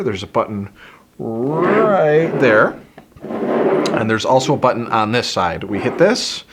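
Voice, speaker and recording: A man's voice: mid-range voice, noisy background. A man speaking casually to camera as a review host, explaining things in an easygoing way. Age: adult. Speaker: video host reviewing a toy helmet.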